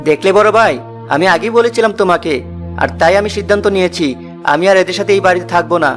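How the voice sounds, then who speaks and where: Middle brother, male actor: decisive, hot-headed, high pitch, quick pace, fairy-tale cartoon. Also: a bit bright. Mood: defiant. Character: headstrong, confident middle brother, hard-working young villager. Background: music.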